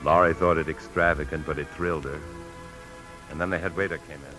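An actor playing an anxious, evasive husband on the run in a 1950s radio drama, smooth-talking and guarded, who also narrates confessionally. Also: male voice, very deep voice, fairly even intonation, fairly quick. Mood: confessional narration. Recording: 1950s radio broadcast, faint music.

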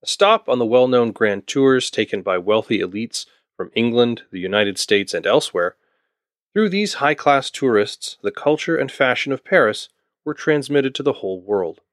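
A man's voice: mid-range voice, animated, normal speed, dry recording, clean studio sound. A man from Ontario narrating a scripted history podcast, with wry asides to the listener. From Ontario, Canada. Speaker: podcast host.

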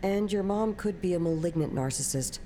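An actress playing a calm female therapist who questions gently in a measured, clinical way, in a naturalistic audio drama. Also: on the low side, slight background noise, natural voice. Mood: calm, probing.

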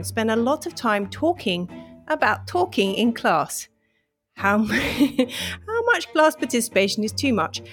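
A woman lecturer who reads a passage aloud, then answers interview questions in a thoughtful, hesitant way with many fillers and restarts. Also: medium pitch. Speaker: university lecturer, interview guest.

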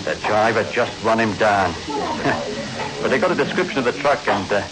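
A man's voice: on the low side, moderate pace, crowd noise, close to an everyday voice, low-quality recording. An actor playing a chatty, matey café counterman, gossiping knowingly in a 1960s radio drama. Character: chatty gossiping roadside café counterman, adult man, matter-of-fact.

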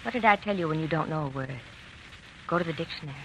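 An actress playing a prim, genteel schoolteacher, proper and instructive, in a 1940s radio drama. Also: female voice, deep voice, quick pace, a bit bright, poor audio. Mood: disapproving, shocked. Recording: vintage 1940s radio, faint noise.

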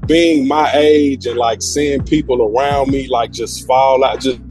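A man in his thirties, a podcast guest, talking casually and reflectively, thinking aloud in slangy, halting phrases. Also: medium pitch, steady tone, darkish tone. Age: 30s. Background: music.

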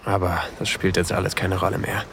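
An actor playing a possessive new lover in an intimate erotic audio drama, murmuring breathily and often whispering close to the microphone. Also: male voice, low voice, flat delivery, a little fast, gravelly, fairly bright tone, a little hiss or hum. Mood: tender, seductive. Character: possessive, seductive new lover, adult man, on a sailboat. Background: faint sea ambience.

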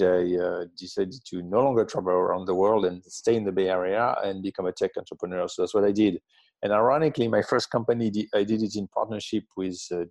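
Gravelly low-voiced French man, hesitant. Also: warm tone, no echo. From south of France. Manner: hesitant, many ums and restarts. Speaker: guest, tech company founder. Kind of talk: interview conversation.

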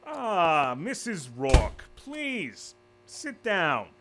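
An actor playing a smooth male corporate official who speaks with false politeness and quiet threat. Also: on the high side, wildly swooping pitch, very slow, over loud music, exaggerated voice. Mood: smooth menace. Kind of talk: audio drama acting.

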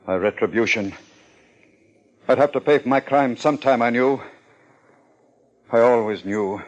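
A man's voice: mid-range voice, unhurried, dull audio, natural voice. An actor playing a pompous contest sponsor with murder in his past, in formal 1950s radio drama diction. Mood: resigned, confessing.

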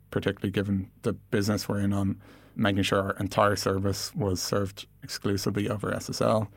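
A man with a low, gravelly voice answers interview questions in a casual, explanatory way. His intonation stays quite flat and his phrasing is thoughtful and halting, recorded very dry in a studio. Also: no room sound. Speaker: engineering executive being interviewed. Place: podcast studio.

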